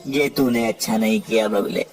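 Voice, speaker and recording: A man voicing a shrewd, cheeky crab with a rhyming, taunting manner in an animated fable, recorded at a distance with noise. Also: lowish voice, normal speed. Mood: vengeful. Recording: distant voice with clear background noise.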